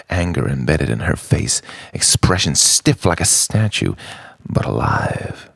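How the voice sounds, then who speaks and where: Gravelly guy doing slow spoken word poetry, theatrical, halting, repeats stuff, really dry close sound. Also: deep voice, no echo. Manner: theatrical, halting, swinging between hushed murmurs and loud outbursts; repeats words and phrases like a refrain. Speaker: poet, spoken-word performer.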